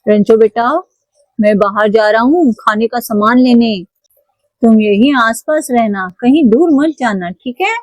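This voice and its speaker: A woman's voice: fairly high pitch, measured pace, a little mellow, no echo, natural voice, rough recording. A woman voicing a caring, cautioning monkey father in a children's toy cartoon story.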